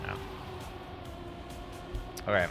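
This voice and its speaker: A man's voice: drawn-out words, pushed and bright. A man, chatting casually and commentating as a live esports caster, with dry, tongue-in-cheek humour. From Denmark. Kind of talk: casual live stream chat with game commentary. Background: music.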